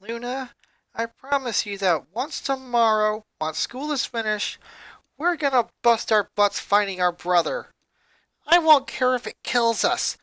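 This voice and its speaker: Narrator voicing a firm, bright eldest teenage sister. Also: medium pitch, expressive, a little slow, no echo, voice pushed up, rough recording. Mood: firm resolve. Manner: firm, earnest, emphatic.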